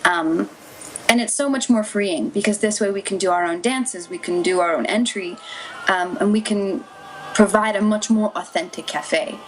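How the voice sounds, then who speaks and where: A woman's voice: moderate pace, a little hiss or hum, low-quality recording. A woman guest chatting casually in an interview over a webcam video call from a room at home. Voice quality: says her voice is relatively low. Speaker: guest, maid cafe performer.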